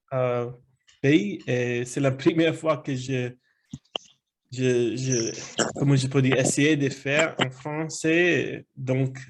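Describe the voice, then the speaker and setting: A man speaks slowly and hesitantly in learner's French, pausing to search for words. He stays polite and eager throughout. His voice sits at a middle pitch, heard over a video call. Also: darkish tone. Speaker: new club member introducing himself. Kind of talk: hesitant spoken self-introduction.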